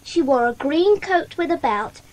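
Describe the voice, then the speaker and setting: A woman's voice: high-pitched, expressive, measured pace, a little mellow, slight background noise, poor audio. A woman playing an observant, earnest schoolgirl who speaks precisely in a children's audio drama. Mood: earnest, proud. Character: Janet, bright observant schoolgirl club member.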